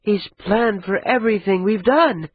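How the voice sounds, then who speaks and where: A man voicing Ida'an, an anguished young noblewoman who is sharp and bitter, speaking in a high, strained voice. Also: a little slow, slightly rough. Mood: desperate. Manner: high strained pleading, cutting sarcasm. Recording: dull lo-fi recording.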